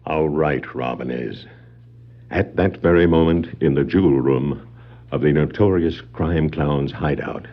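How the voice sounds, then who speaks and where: A man's voice: very deep voice, measured pace. A man giving dramatic scripted narration for a 1960s superhero adventure record. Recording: dull vintage vinyl record, music behind.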